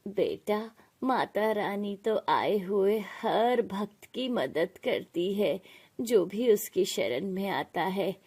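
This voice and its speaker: A woman voicing a fatherly, benevolent male temple priest in an animated moral story, soothing and reassuring. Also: medium pitch, slow pace, husky.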